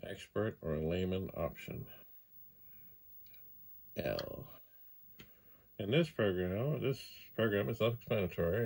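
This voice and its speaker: A man's voice: deep voice, gravelly, darkish tone, breathy edge. A man talking casually as he demonstrates something for a vlog, rambling along and playfully repeating his phrases. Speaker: vlogger demonstrating vintage computers.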